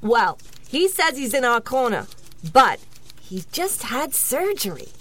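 A female aide in a comic audio play, acted by a woman. She is frank, skeptical and gossipy, in a slightly high voice with lively swings of pitch. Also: measured pace, exaggerated voice. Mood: matter-of-fact. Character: matter-of-fact female mayoral aide, gossipy and doubtful. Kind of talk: comedic audio drama dialogue.

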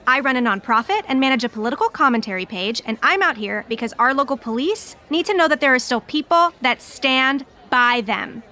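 High, bright, indignant police-supporting protester woman. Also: animated, fairly quick, few pauses. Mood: earnest, defensive. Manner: emphatic, indignant. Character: self-righteous pro-police counter-protester woman, indignant and defensive. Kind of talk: audio drama street interview.

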